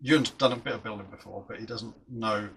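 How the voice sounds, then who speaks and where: A man's voice: on the low side, steady tone, fast talker, gravelly, fairly bright tone, slight background noise. A middle-aged man chatting casually while building a model aircraft, rambling and often restarting his sentences.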